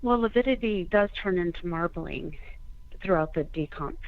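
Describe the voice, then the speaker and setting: Woman, lowish voice, tentative, talking through ideas in a discussion over a phone line. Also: lively intonation, normal speed. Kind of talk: conference call discussion. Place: telephone conference call. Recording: tinny phone conference line.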